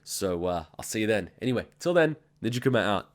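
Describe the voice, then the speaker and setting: A man's voice: fairly low pitch, animated, fast. A man, bluntly ranting and swearing as host of a reaction video. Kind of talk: casual reaction commentary.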